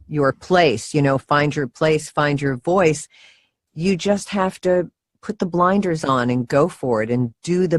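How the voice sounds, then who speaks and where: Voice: medium pitch, dry recording. A voiceover coach giving casual, earnest advice, leaning on key words for emphasis.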